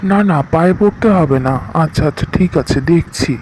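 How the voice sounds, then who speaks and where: A man's voice: a little high, brisk, a little mellow, slight background noise, lightly acted, low-quality recording. A man playing a rich, womanising, harried company boss in a cartoon story. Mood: stern, businesslike. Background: music.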